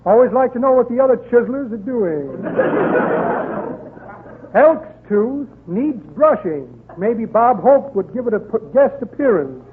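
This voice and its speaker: An actor playing a pompous small-time crook in 1930s radio comedy, delivering mock-refined, drawling patter. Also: male voice, very high voice, animated, a little slow. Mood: breezy, roguish. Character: pompous chiseling crook rummaging through his pockets. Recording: muffled, noisy 1930s radio broadcast.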